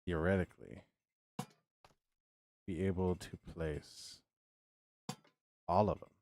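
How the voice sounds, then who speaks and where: A man's voice: low-pitched, steady tone, slow, vocal fry, a little mellow, a little hiss or hum. A man in his thirties streaming a building game, chatting to himself and his viewers, humming filler syllables and repeating words as he works. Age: 30s. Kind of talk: casual live-stream commentary while building in a game.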